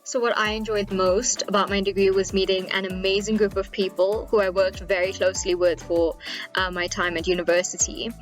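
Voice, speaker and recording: A woman, giving a testimonial as a recent graduate. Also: mid-range voice. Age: 20s. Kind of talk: personal testimonial.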